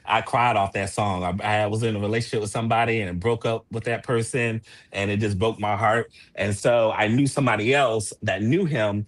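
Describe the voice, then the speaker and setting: A man's voice: fairly low pitch, steady tone, brisk, few pauses. A man talking casually as a playwright and producer being interviewed.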